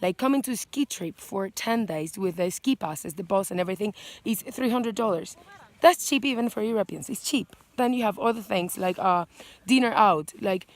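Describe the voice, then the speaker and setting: A woman's voice: mid-range voice, moderate pace. A woman from Venezuela with a Venezuelan Spanish accent, chatting casually and emphatically as a vlog host working through a list. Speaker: vlogger and channel host.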